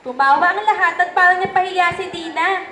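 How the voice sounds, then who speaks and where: A woman's voice: extremely high pitch, fairly quick, a bit bright. A young woman with a Filipino accent reading scripted narration for a story. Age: young adult. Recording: echoey room, faint background noise.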